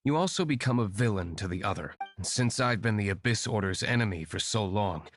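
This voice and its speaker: An actor playing a calm, aloof young winery owner who works as a secret vigilante, measured and reserved. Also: male voice, fairly low pitch, soft background music, close to an everyday voice. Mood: cool. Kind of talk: game voice acting.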